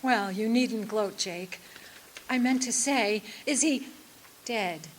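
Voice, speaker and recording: An actress playing a brazen, scheming femme fatale in a noir parody radio drama. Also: female voice, fairly high pitch, slow. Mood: urgent.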